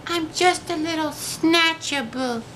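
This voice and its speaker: A man's voice: very high voice, takes it slowly, pushed and bright, a little hiss or hum, pitched above the performer's own voice, exaggerated voice, low-quality recording. A man voicing a small, sad, timid storybook creature, apologetic and mournful as he draws out his words.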